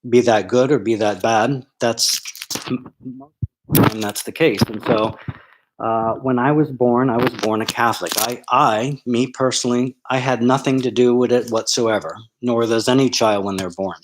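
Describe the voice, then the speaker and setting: A man's voice: medium pitch, steady tone, a little slow, noisy background. A middle-aged man from Florida, a sociology professor, telling a live online audience his story in a candid, rambling way full of ums and restarts. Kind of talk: live-streamed personal talk.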